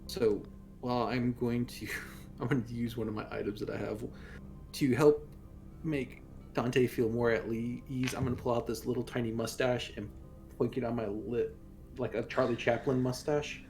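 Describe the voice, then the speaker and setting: A man's voice: mid-range voice, flat delivery, moderate pace, creaky, darkish tone, soft background music. A man playing a tabletop roleplaying game, talking casually as he describes what his character does.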